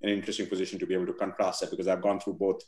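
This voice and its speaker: Guest man from India, forties, low voice, roomy echo, halting and thoughtful. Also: level tone, darkish tone, slight background noise. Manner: thoughtful, many fillers and restarts. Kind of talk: video call interview. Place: home room with virtual backdrop. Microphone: video call.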